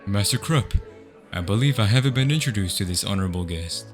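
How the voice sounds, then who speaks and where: Male actor for gracious young aristocrat, formal, composed, slightly deep voice, fan-dub drama. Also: expressive, over music, natural voice. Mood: courteous. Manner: formal, polite, measured. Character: courteous young male noble host, composed and dignified.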